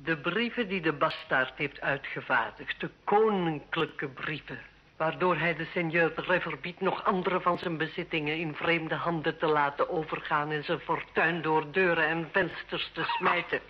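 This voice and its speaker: A woman's voice: sits low, normal speed, slight background noise, close to an everyday voice. An actress playing a proud, bitter, lonely noblewoman wife in a theatrical, reproachful manner in an old Dutch radio drama. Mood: scornful, accusing. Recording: dull old radio play recording.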